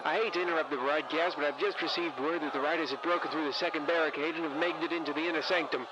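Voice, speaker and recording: A man's voice: fairly high pitch, fairly even intonation, rapid-fire, highs cut off, natural voice. A man playing a grandiose, spiteful broadcaster under siege, in a parody of old-time radio. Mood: defiant, spiteful. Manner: grandiose, dramatic announcer delivery. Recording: loud crowd and riot noise behind.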